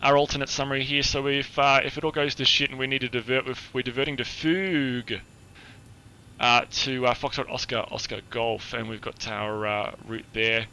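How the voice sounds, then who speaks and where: A hoarse, husky-voiced man giving a chatty, explanatory flight briefing on a live stream, with many filler words. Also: mid-range voice, little pitch movement, normal speed, pushed and bright.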